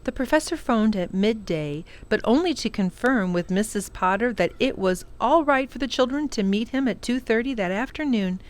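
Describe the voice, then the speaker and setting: A woman reading a children's novel aloud in an unhurried, expressive storytelling manner. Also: fairly high pitch. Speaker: children's storytime reader, librarian.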